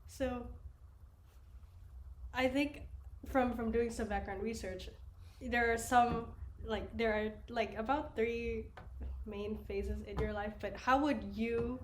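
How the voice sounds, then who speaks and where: A woman's voice: a little high, steady tone, slow. A woman hosting a podcast who asks casual interview questions hesitantly and fills her sentences with filler words. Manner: hesitant, uses many fillers. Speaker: podcast host. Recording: echoey room with faint noise.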